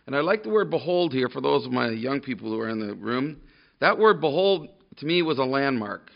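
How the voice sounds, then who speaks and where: A man preaching a sermon to a congregation, loud and emphatic, often restarting his sentences. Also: medium pitch, very animated, a little fast, creaky. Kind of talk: sermon with scripture reading. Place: church room with a congregation. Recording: dull lo-fi sermon recording.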